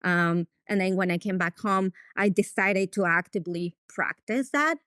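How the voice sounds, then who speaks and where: A woman's voice: medium pitch, normal speed, fairly bright tone, smooth, dry recording, clean studio sound. A woman from Mexico with a Mexican accent and Spanish as her first language, answering interview questions conversationally as a veterinarian. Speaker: veterinarian, podcast guest.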